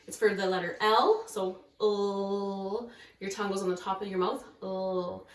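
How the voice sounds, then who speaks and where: A woman kindergarten teacher talking to young children and reading a storybook aloud. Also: mid-range voice. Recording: echoey room, far from mic.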